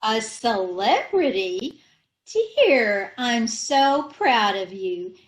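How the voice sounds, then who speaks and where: Woman as scatterbrained wife, syrupy and slow; 1930s radio comedy. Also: fairly high pitch, very animated, exaggerated voice. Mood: sweetly dotty. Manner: slow, dotty, syrupy sweet. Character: scatterbrained sweet-talking wife Jane. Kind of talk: amateur old-time radio play reading.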